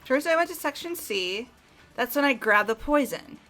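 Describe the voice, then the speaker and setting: A flustered young woman suspect, played by a woman in a fan-voiced game drama, stammering and defensive. Her voice is bright and sharp, pitched a little high. Light music plays behind her. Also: lively intonation, moderate pace. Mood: nervous.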